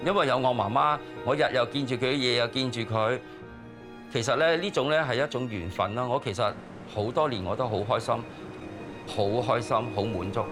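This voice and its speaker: A man from Myanmar, talking warmly and reminiscing in an interview. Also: mid-range voice, fairly quick. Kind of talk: casual interview. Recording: music underneath.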